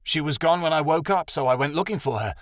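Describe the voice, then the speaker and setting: A man voicing a wise, downhearted male dragon in a storybook, measured and muttering. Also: a little high, animated, no room sound, clean studio sound, voice pushed up, natural voice. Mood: hurt.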